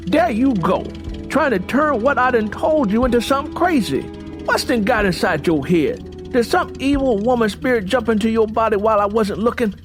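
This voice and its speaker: A man's voice: very high-pitched, expressive, normal speed, soft background music, pitched above the performer's own voice. A man voicing a boastful, domineering Southern man in an audiobook, scolding and self-important with a Southern US accent. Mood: angry, scolding.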